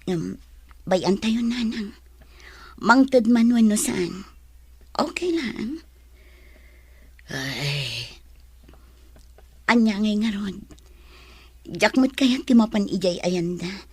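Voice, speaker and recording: A woman's voice: medium pitch, sing-song, extremely slow, long pauses, husky, no echo, heavily acted. A woman playing a long-suffering, betrayed mother in an emotional, plaintive radio drama style. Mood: weary, determined. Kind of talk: Philippine radio drama acting.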